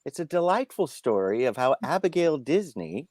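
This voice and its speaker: A man reviewing a film in unscripted discussion, halting and repeating himself as he searches for words. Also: mid-range voice, sing-song, darkish tone, no room sound, studio quality.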